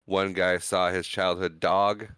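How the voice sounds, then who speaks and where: Smooth-voiced man recapping a film. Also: low voice, a little slow, no room sound, studio quality. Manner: animated storytelling, retells scenes with quoted lines. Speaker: podcast co-host. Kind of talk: casual chat recapping a film.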